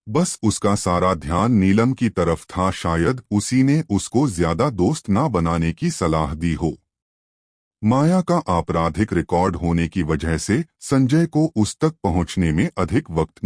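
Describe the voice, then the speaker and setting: Deep-voiced male storyteller, unhurried. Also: darkish tone, no echo, studio quality. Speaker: storyteller, narrator. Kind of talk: scripted suspense love-story narration.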